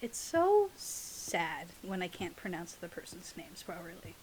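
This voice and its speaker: A woman's voice: fairly low pitch, big pitch swings, a little slow, slight background noise. A young adult woman co-hosting in a casual, giggly, teasing way, chatting and reading aloud. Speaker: podcast co-host and audio roleplay creator.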